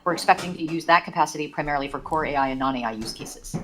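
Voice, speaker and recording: A woman with a slightly low voice reads formal prepared remarks as a company executive. She moves very quickly through the script. There is faint noise on the call. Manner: formal, reading out. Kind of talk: scripted earnings call presentation.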